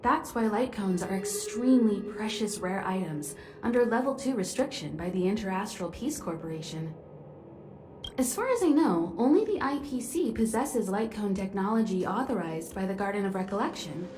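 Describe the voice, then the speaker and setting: A woman's voice: mid-range voice, animated, normal speed, a little mellow, reverberant, close to an everyday voice. A woman voicing a poised, knowing space-train navigator in a video game, composed and reassuring. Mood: explanatory. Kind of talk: video game character dialogue.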